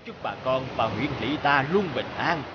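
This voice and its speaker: A man voicing a calm, honest middle-aged district magistrate in a cartoon folk tale, formal and measured. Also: medium pitch, lo-fi sound, noisy background, natural voice. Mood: grateful.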